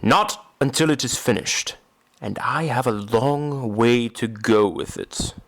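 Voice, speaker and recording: A man playing a brooding, tormented reclusive composer in an audio drama, speaking formally and gravely with deliberate phrasing. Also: mid-range voice, fairly bright tone. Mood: resolute, cold.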